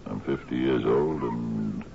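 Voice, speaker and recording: Gravelly, very deep old sea captain. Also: male voice, slow pace, full character voice. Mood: wistful musing. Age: 50s. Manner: theatrical, musing, punctuated with questioning grunts. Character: expansive, dreamy old sea captain in his fifties. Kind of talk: radio drama acting. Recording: dull, noisy 1970s radio recording.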